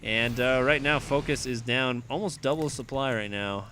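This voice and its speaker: A young man with a raspy voice casting a strategy game alone. His pitch sits in the middle range, and he gets excitable, climbing to shouts when the armies clash. A loud wash of background noise runs under the whole recording. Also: normal speed. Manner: excitable, rising to shouts in big fights. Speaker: esports caster. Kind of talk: solo play-by-play game commentary.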